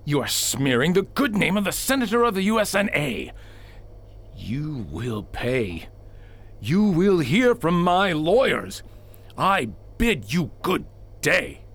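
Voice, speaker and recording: A man's voice: a little high, big pitch swings, slow pace, fairly bright tone, heavily acted. An actor playing a pompous, power-hungry male senator in a radio drama, grandiose and blustering, as if always making a speech. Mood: outraged. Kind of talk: old-fashioned radio drama acting.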